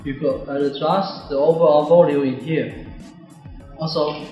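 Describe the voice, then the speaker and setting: A man's voice: a little high, fairly even intonation, unhurried, dark tone. A man, giving a scripted product demonstration. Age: 20s. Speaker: product presenter. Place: bare indoor office or classroom. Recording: very echoey room, music behind.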